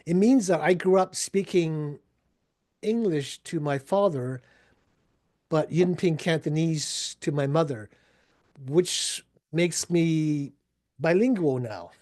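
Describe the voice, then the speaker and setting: A man's voice: on the high side, takes it slowly, darkish tone. A man contributing author on a video panel, telling a family story with hesitant fillers and a wry touch. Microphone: video call.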